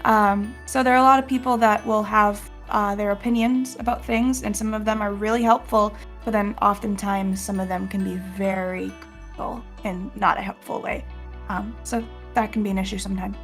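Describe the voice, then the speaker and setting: A woman's voice: medium pitch, fairly even intonation, normal speed, a bit bright, soft background music. A woman streamer on a panel, thoughtful, with hesitant fillers. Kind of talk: casual panel talk.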